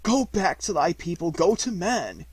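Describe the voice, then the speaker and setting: A man reading aloud, voicing a dying old male wolf who is proud and weary and speaks in gasping, halting, coughing phrases. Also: high voice, big pitch swings, darkish tone, husky, no room sound, voice pushed up, full character voice. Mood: solemn.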